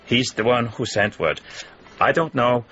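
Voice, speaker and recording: An actor playing a guarded, worldly man in a naturalistic radio drama, speaking in a measured, matter-of-fact, knowing way. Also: mid-range voice, a bit bright, husky, muffled recording. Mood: grave, guarded.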